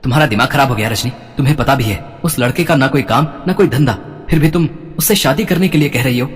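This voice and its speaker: A man voicing a protective, stern older brother in an animated melodrama, speaking emphatically and emotionally. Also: medium pitch, fast, long reverb tail, close to an everyday voice. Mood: angry. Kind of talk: melodramatic animated story acting.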